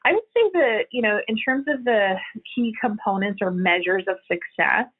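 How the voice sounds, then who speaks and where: Woman exec guest, husky and gravelly, earnest and halting, heard over a tinny phone line. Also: medium pitch, expressive. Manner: earnest, explanatory, frequent hesitations. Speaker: HR executive, podcast guest. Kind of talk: conversational interview answers.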